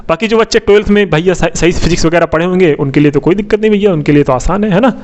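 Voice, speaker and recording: A male coaching teacher speaks in a distinctly high voice. He explains informally, as if walking students through a list. He repeats key points and often poses a question, then answers it himself. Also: racing, a little mellow, highs cut off. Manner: repetitive, asks questions and answers them himself, frequent tag questions. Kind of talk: informal explanatory lecture on an exam syllabus.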